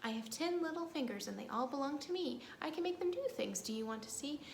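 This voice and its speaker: Lady doing kids storytime rhymes, highish voice, playful and sing-song, echoey room. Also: lively intonation, quick pace, gravelly. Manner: playful, sing-song, talking directly to young children. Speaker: children's librarian leading storytime. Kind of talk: children's storytime with rhymes and action songs. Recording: echoey room with faint background noise.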